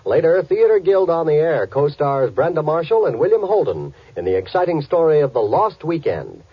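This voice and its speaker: A man's voice: a little high, very animated, moderate pace, slightly rough, dry recording. A man reading a showy, emphatic 1950s radio network promo. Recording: dry, lo-fi old radio broadcast.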